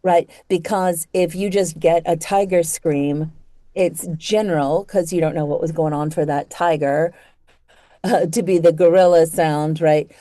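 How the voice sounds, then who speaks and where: Female interview host, lowish and very mellow, thoughtful and conversational, working her way into questions phrase by phrase. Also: steady tone, a little slow, no room sound.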